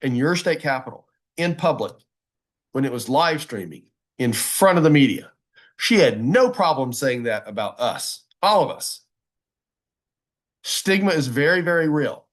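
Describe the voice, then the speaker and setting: A man's voice: medium pitch, very animated, unhurried, long pauses. A man giving a motivational advocacy talk, emphatic and folksy, often repeating phrases for weight. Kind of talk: motivational presentation to a group.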